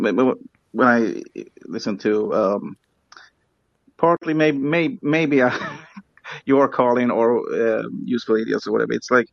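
Hesitant Swedish caller on a muffled line. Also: male voice, medium pitch, gravelly, no room sound. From Sweden. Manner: hesitant, restarts often, apologetic. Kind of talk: call-in chat.

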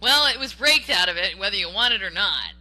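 A woman on a podcast from Western Washington, debating politics in an animated, emphatic way, restarting sentences and tagging them with "okay?". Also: mid-range voice, fairly quick, a loud crowd, poor audio. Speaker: podcast co-host.

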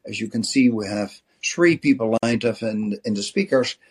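Low husky-voiced male podcast host. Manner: earnest, measured, with occasional restarts. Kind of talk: introducing a podcast episode and guest.

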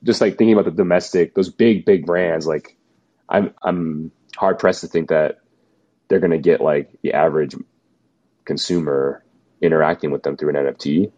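A man co-hosting a podcast, a little hoarse from being sick, musing skeptically in casual chat. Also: rumbling low, fairly even intonation, normal speed, dark tone, dull audio. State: slightly losing his voice, sick. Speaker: podcast co-host.

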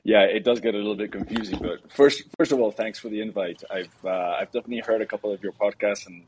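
A man who grew up in Mexico, answering interview questions as a guest in an easygoing, relaxed way. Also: lowish voice, fast talker, husky, low-quality recording. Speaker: guest, mountaineer and tour operator.

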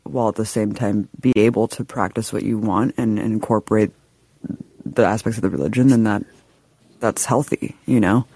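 A man hosting a call-in podcast, brisk and affirming as he brings callers on. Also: fairly low pitch, fairly even intonation, dark tone, slightly rough, no room sound, low-quality recording. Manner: upbeat, affirming, brisk when introducing callers. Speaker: podcast host.

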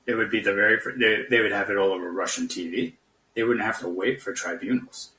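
Guy on a call-in show, echoey and a bit distant, sharp bright voice, skeptical, stop-start talking. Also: on the low side, slight background noise. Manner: hesitant, halting, skeptical. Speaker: podcast guest caller. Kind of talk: casual political debate. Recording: echoey room, distant from mic.

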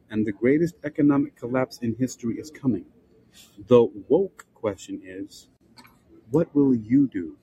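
An older man hosting a podcast, reading a prepared essay aloud with measured, rhetorical question-and-answer pacing. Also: medium pitch, mellow, a little hiss or hum, poor audio. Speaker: podcast host.

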